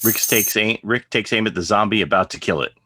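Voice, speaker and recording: A man narrates a script's scene directions. His voice is very low and crisp-edged, recorded dry and close. He reads in measured, declarative sentences. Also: bright, forceful tone, dry recording.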